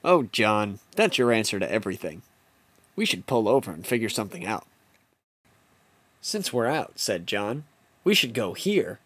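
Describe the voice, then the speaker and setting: A man's voice: fairly low pitch, big pitch swings, normal speed, pauses often, fairly bright tone, husky, dry recording. A young man narrating a home-made comedy film in the first person, with playful, mock-dramatic storybook delivery.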